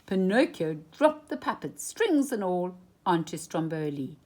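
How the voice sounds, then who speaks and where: A woman storyteller reading a children's storybook expressively, with deliberate, dramatic emphasis. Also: fairly low pitch, sing-song, darkish tone, a little hiss or hum.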